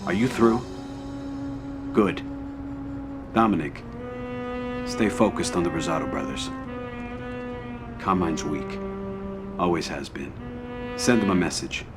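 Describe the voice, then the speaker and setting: Low-voiced cold mafia boss, loud music. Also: male voice. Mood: firm, controlled. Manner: measured, commanding. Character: cold, controlled crime family boss, adult man. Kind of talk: video game cutscene acting. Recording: loud music behind the dialogue.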